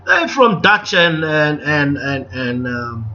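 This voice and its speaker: A middle-aged man with a South African accent talks live to his viewers, opinionated and digressive, often restarting his sentences. His voice sits high and sharp. The stream sounds dull and lo-fi, with faint music underneath. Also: big pitch swings, takes it slowly. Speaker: livestream host. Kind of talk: unscripted live commentary to viewers.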